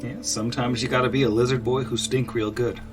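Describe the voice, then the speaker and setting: A man's voice: mid-range voice, level tone, over music. A man chatting casually and jokingly on a podcast, rambling in short bursts and acting out his own asides. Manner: halting, filler-heavy rambling with acted-out asides. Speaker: podcast panelist.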